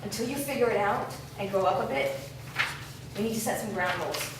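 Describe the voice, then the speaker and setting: A woman's voice: medium pitch, fairly quick, lightly acted. A woman playing a stern, worried mother of a teenage girl in a staged reading of a drama. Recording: echoing room, distant mic, clear background noise.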